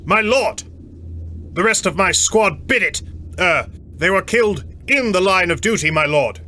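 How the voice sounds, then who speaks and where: A man's voice: sits high, expressive, a little slow, pushed and bright, a little hiss or hum, voice pushed up, full character voice. A man voicing a brash but nervous, deferential young-spirited trooper in a sci-fi audio drama, with emphatic military delivery. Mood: flustered, defensive.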